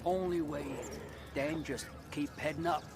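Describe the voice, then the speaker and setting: An actor playing a wary vagrant guide in a crime game, speaking in clipped, informal phrases. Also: male voice, a little high, steady tone, a little slow, background noise, lightly acted.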